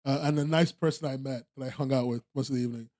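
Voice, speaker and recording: A man's voice: medium pitch, steady tone, very fast, darkish tone, no echo, clean studio sound. A British man hosting a podcast, chatting casually in a rambling, laughing, self-deprecating way. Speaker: podcast host. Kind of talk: casual chat.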